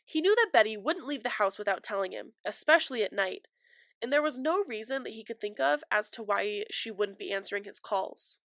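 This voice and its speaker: A woman's voice: on the high side, animated, fairly quick, a bit bright, no room sound. A young adult woman hosting a true crime podcast, stuffy from a cold, chatty and apologetic with many fillers, on a close mic. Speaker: podcast host.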